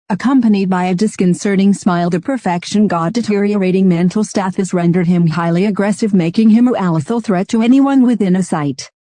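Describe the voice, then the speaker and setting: Mellow female field reporter, played by a woman, formal cartoon newscast delivery, very dry sound. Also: medium pitch, no room sound, lightly acted. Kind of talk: scripted news report.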